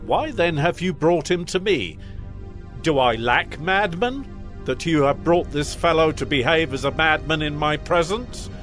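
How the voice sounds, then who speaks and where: Male actor as scornful king, highish voice, imperious, incredulous, light music underneath. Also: expressive, a little slow, a bit bright. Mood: exasperated, scornful. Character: exasperated, scornful foreign king. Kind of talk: dramatized Bible story acting.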